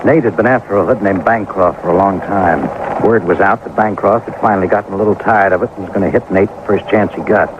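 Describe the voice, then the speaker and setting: An American actor playing a plainspoken, weary homicide sergeant in a 1950s radio drama, terse and colloquial. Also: male voice, low-pitched, fast talker, few pauses, natural voice. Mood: grim. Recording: tinny, noisy old radio recording.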